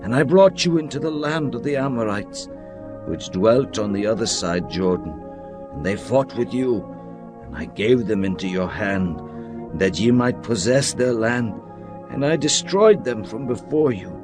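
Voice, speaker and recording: A stately, commanding leader addresses the gathered tribes. The delivery is solemn and measured, in the manner of a dramatized scripture. Clear music runs behind the voice. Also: male voice, on the low side, expressive.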